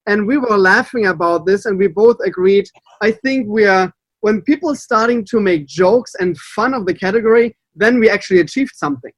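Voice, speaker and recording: A man's voice: sits high, normal speed, a bit bright, dry recording. A man with a German accent, a native German speaker, hosting a podcast in English as a water sommelier, upbeat and chatty. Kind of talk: casual podcast chat.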